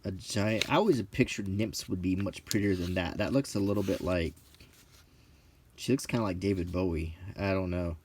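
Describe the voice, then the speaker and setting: A middle-aged man giving halting, offhand commentary as he leafs through books, listing items one by one with casual opinions. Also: low-pitched, a little mellow, slight background noise. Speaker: presenter of a hobby video. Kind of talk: unscripted casual commentary while leafing through books, unintentional ASMR.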